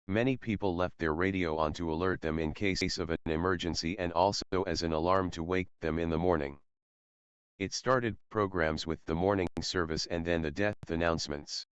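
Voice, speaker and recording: Very deep smooth man narrating, roomy sound. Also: lo-fi sound. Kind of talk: scripted documentary narration.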